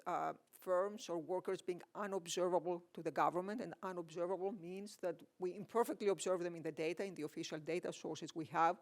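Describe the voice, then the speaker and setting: A man's voice: sits high, fairly bright tone. A man with a Greek accent giving an academic keynote at a conference, with lots of fillers and restarts. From Greece. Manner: hesitant, many fillers and restarts. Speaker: keynote speaker, economist. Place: conference hall.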